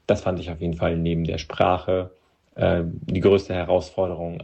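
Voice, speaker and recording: Man from Moldova, native Russian speaker, gravelly voice, breathy, halting and searching for words. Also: deep voice, a little mellow. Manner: hesitant, with many pauses and restarts. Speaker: interview guest. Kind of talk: personal interview account.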